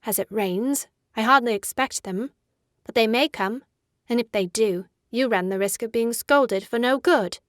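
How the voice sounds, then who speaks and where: A woman's voice: fairly high pitch, a little slow, no room sound, clean studio sound, close to an everyday voice. An actress playing a petulant, haughty teenage girl in a dramatic audiobook, peevish and imperious. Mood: evasive, uneasy.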